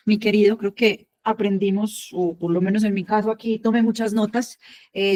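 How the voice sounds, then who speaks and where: A woman's voice: medium pitch, darkish tone. A woman moderating an online panel over a video call, speaking in a friendly, affectionate way with diminutives for colleagues.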